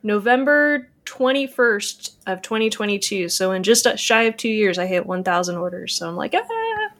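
A woman's voice: mid-range voice, very animated, moderate pace, a bit bright, slight background noise. A woman speaking casually as a guest on a podcast. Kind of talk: casual chat.

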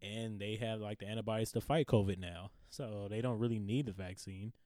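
A man's voice: fairly low pitch, steady tone, fairly quick, mellow, no room sound. A young man co-hosting a podcast in casual chat, laid-back and slangy, laughing often. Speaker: podcast co-host.

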